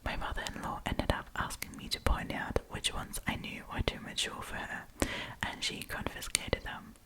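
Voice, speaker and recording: A woman speaking in a breathy, unvoiced whisper as an ASMR host, reading stories and commenting in a chatty, informal, opinionated way. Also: moderate pace.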